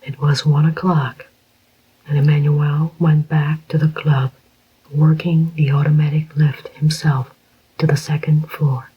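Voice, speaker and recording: A narrator reading a crime story aloud in a soft, unvoiced whisper, slow and even. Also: mid-range voice, husky. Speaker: audiobook narrator. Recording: dull lo-fi recording.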